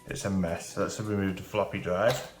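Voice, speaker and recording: A hoarse man with a cold talks through a repair in a relaxed, chatty way. His voice sits very low. The recording has a roomy echo with faint music behind him. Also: moderate pace. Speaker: channel host. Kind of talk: casual hands-on repair commentary.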